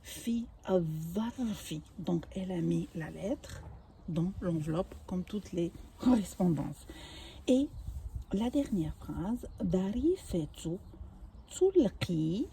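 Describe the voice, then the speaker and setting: Older woman, native Arabic speaker, unhurried patient teacher with a warm, dark tone. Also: fairly low pitch, lively intonation, creaky, a little hiss or hum. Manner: patient, explains each word slowly with small restarts. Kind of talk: home-made language lesson.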